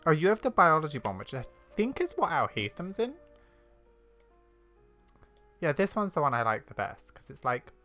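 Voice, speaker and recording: Low-voiced woman chatting through a game. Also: very animated, normal speed, long pauses, fairly bright tone, soft background music. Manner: musing, thinking aloud. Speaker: gamer commentating on a playthrough. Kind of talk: casual let's play chat.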